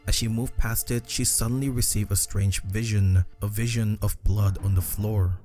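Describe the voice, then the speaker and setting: Male narrator, low and dark-toned, scripted horror story delivery, faint music underneath. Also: fairly even intonation, moderate pace. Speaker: video essay narrator.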